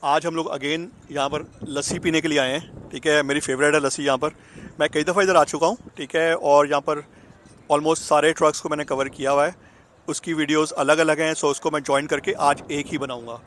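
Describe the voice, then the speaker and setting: A man's voice: on the high side, steady tone, fairly quick, a bit bright. A man in his thirties casually reviewing food at an outdoor food truck park, savoring each bite and mixing Hindi with English, filmed on a handheld phone. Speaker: food vlogger. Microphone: handheld phone camera. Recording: outdoor street noise. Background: outdoor traffic and street ambience.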